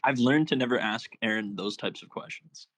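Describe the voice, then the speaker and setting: A man's voice: medium pitch, moderate pace, a bit bright, no room sound, lightly acted. A man playing an awkward, level-headed young partygoer in a film table read. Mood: wry.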